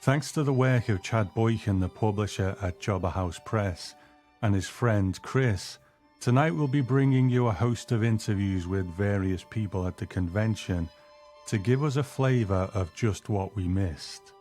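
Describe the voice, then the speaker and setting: Low-voiced male host over faint music. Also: a little slow, a little mellow. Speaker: podcast host. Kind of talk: scripted narration.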